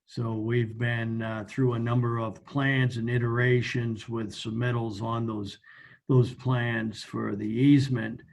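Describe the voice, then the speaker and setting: An American man giving informal, measured and somewhat halting explanations in an online meeting. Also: mid-range voice, level tone, gravelly, a little mellow. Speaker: committee member and meeting host. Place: remote video meeting.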